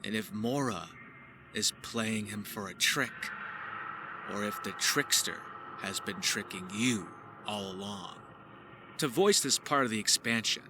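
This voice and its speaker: A man presenting his voice acting work in a scripted, theatrical narration with florid wording. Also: lowish voice. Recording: faint ambient noise behind.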